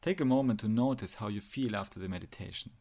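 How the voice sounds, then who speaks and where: A man narrating a guided meditation, speaking in measured phrases with pauses as he gives instructions. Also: fairly low pitch, warm tone.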